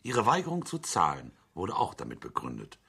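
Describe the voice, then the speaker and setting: Very low, husky, shrewd claimant. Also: male voice, huge pitch swings, a little fast, bright, forceful tone, exaggerated voice. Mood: argumentative, precise. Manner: articulate, legalistic, pointed. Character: shrewd, insistent widower pressing a dubious insurance claim. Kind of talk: German radio drama acting.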